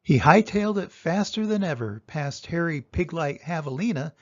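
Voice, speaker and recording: An older man reading a children's story aloud with expressive, dramatic emphasis. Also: a little high, normal speed, no echo, highs cut off. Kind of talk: animated children's storybook narration.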